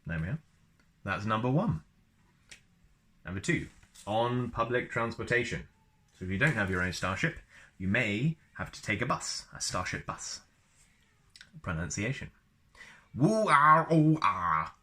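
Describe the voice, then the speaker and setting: A man reads aloud in a fairly low, bright voice. He narrates like a storyteller and drops in playful, casual asides for young listeners. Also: animated, normal speed, long pauses. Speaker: storyteller reading a book aloud for a video channel.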